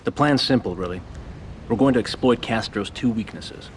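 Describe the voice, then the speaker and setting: An actor playing a calm, scheming American government agent in a video game cutscene, speaking in a measured, persuasive, conspiratorial way. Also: male voice, fairly low pitch, a little hiss or hum, close to an everyday voice.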